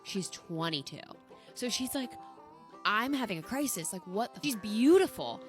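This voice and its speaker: A woman casually retelling an anecdote in a chatty, animated way. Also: medium pitch, normal speed, faint music behind. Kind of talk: casual storytelling clip.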